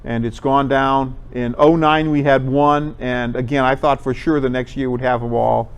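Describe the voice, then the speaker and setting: A man with a clear, smooth voice talks a group through a slide presentation. He is informal and conversational, explaining things as he goes in a medium-pitched voice. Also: steady tone, moderate pace. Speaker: presenter at an amateur radio club talk.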